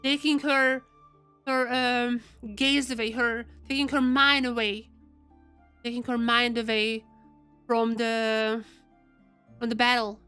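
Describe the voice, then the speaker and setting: Bright, high-voiced woman streaming a game. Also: level tone, slow, long pauses, smooth, faint music behind. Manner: repeats phrases while thinking aloud. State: getting over a cold, voice wearing out. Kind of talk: casual live gameplay commentary to chat.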